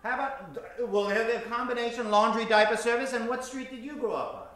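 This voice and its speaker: A man's voice: extremely high pitch, a little fast. A man hosting a live improv comedy show, playfully working the audience from a theater stage. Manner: playful, repeats audience shouts back. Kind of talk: live comedy crowd work. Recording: very echoey theater, audible crowd.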